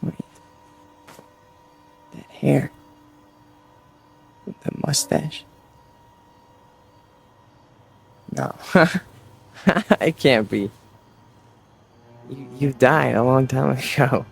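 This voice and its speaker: An actor playing a needy, insecure young man in a radio drama, speaking in a pleading, halting, emotional way. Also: mid-range voice, gravelly, soft background music. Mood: awed, hesitant. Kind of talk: vintage-style radio drama acting.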